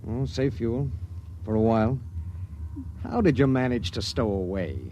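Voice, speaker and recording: An actor playing a lonely emergency dispatch pilot, narrating and speaking in a clipped, terse way in a 1950s radio drama. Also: male voice, mid-range voice, a little slow, mellow, slight background noise, natural voice. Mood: somber. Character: lonely space pilot, male, adult, grim and tender. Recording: vintage radio broadcast with music.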